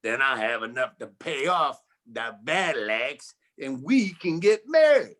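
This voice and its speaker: A streetwise private eye played by a man from a campy forties radio drama. His voice is sharp and mid-pitched, and he takes his time over wry, slangy wisecracks. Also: sing-song, unhurried. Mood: flustered, pleading. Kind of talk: campy 1940s radio noir acting. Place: live community theatre stage performance.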